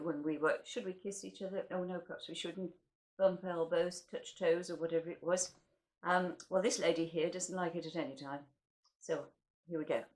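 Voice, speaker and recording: A woman reading poetry aloud in a theatrical, wryly comic way, as the host of a recital. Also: lowish voice. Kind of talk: expressive poetry recital with casual introductions.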